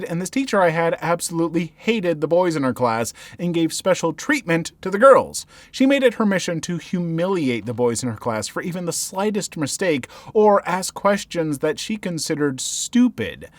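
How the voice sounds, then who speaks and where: Lively male story reader, dry sound. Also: a little high, dry recording. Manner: animated reading with commentary. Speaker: story narrator. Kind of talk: reading viewer stories aloud.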